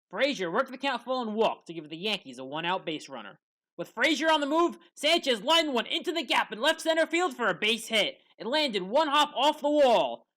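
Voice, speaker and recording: A woman narrating scripted sports highlights play by play as a commentator, leaning hard on the big moments.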